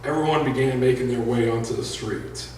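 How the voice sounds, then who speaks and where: A man's voice: darkish tone, distant mic, a little hiss or hum. A man reading aloud in an echoey room. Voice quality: deep voice. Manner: plain unhurried reading with joking asides to the audience. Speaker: author reading his own book. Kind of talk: live reading of prose fiction. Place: indoor live reading event.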